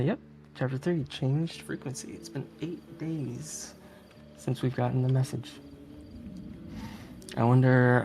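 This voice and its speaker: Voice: medium pitch, mellow, slight background noise. A speedrunner giving casual, giggly, easygoing live commentary while playing a video game.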